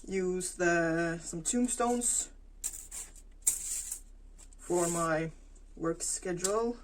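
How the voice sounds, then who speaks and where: A woman, talking through her crafting casually, thinking aloud with pauses and fillers. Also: lowish voice, a little hiss or hum. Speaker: planner vlogger. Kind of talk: casual plan-with-me narration while crafting.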